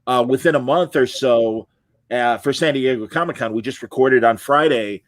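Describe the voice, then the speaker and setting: A man's voice: medium pitch, moderate pace, dry recording. A middle-aged man hosting a casual interview, chatting in an informal, enthusiastic way. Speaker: podcast host and interviewer.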